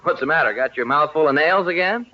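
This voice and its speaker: A man's voice: on the high side, expressive, quick pace. An actor playing a sly, money-hungry contractor with folksy salesman patter in an old-time radio comedy. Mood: folksy, helpful. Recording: muffled, noisy old radio recording.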